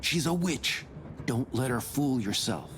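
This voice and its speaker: An actor playing an anxious, pious gentleman in a visual novel, formal and earnestly pleading. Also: mid-range voice, lively intonation, measured pace, a little hiss or hum, natural voice. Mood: pleading, fearful. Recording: voice over prominent background music.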